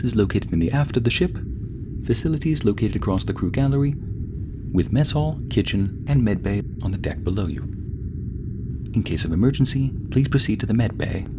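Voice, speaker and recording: Low-voiced American spaceship AI, played by a man. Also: natural voice. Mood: calm, formal. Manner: polite, measured, formal announcements with gentle explanations. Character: courteous, soothing male spaceship AI assistant, eager fact-sharer. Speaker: ship's AI guide and narrator. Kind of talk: ASMR roleplay, scripted narration. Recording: steady rumbling spaceship ambience behind voice. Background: low engine rumble ambience.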